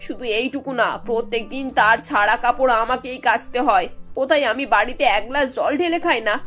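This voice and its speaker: Grumbling older mother-in-law played by a woman, fairly high. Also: fairly bright tone, lightly acted. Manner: confiding, grumbling. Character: put-upon, scheming older mother-in-law. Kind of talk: animated cartoon dialogue.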